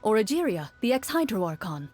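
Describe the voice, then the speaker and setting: A woman playing a serious, composed hunter who tells stories in a measured, formal way in a video game. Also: medium pitch, big pitch swings, a little mellow, faint music behind, lightly acted. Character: composed serious female hunter telling old legends. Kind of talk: video game voice acting, storytelling.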